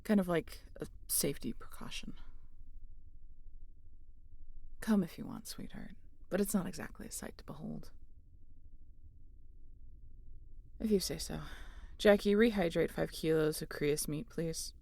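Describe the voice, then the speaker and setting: A woman's voice: low-pitched, normal speed, creaky, hardly any pauses, warm tone, dry recording. A woman playing a guarded, sarcastic starship captain and biologist in a sci-fi audio drama, dry and often muttering. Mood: concerned, businesslike. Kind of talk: scripted sci-fi audio roleplay.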